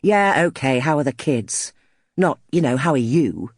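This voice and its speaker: A woman's voice: rumbling low, animated, normal speed, darkish tone, no room sound, studio quality, heavily acted. A woman voicing a tetchy, needling husband on a phone call in an audiobook, curt and sarcastic. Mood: sarcastic, aggrieved.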